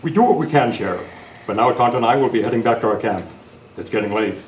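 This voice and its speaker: A man's voice: mid-range voice, expressive, fast talker, close to an everyday voice. A man playing a heroic, upright masked cowboy in an old-time radio western, with an earnest, formal way of talking. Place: indoor club meeting, performed live at microphones. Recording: echoey, noisy club meeting room, distant mic.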